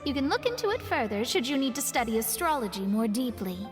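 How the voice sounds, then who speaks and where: A woman's voice: high voice, sing-song, quick pace, in a large hall, faint music behind. A woman voicing a proud young female astrologist in a studio game, composed and a little haughty as she explains things. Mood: explaining.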